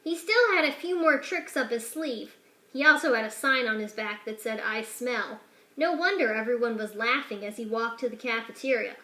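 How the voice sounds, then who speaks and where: A woman reading a children's picture book aloud, changing her voice for the characters' lines. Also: echoey room. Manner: expressive, shifts pitch and loudness for each character.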